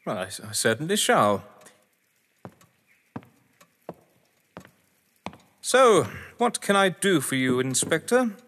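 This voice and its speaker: An actor playing a haughty, well-to-do English gentleman in an audio drama, florid and evasive, with an English accent. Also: a little high, big pitch swings, moderate pace, a little hiss or hum. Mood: airy, polite. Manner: pompous, florid, theatrical. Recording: reverberant hall with music behind.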